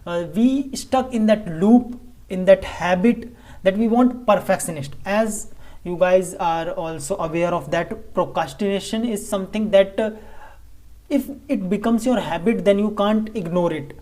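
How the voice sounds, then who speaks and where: A man with an Indian English accent talks casually to camera in a vlog. He is a native Hindi speaker, and his delivery is halting, with frequent stumbles and restarted phrases. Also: high-pitched, mellow. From Delhi, India. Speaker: vlogger.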